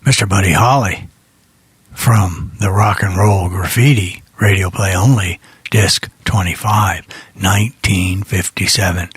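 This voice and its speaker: A man's voice: deep voice, fairly even intonation, a little slow, a bit bright, slightly rough, dry recording. An older American man hosting an internet radio show, talking in a rambling, reflective, conversational way between songs. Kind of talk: casual monologue between songs.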